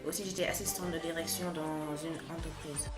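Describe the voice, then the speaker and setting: A young woman gives an interview-style self-introduction in a fairly low voice. The room echoes and loud music plays behind her. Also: steady tone, fairly quick. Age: 20s. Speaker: volunteer.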